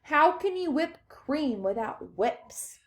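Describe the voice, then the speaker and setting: A woman voicing an excitable, eccentric man who runs a chocolate factory, bossy and gleeful, in a children's story. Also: high-pitched, slow pace, pitched above the performer's own voice, heavily acted. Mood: brisk, eccentric.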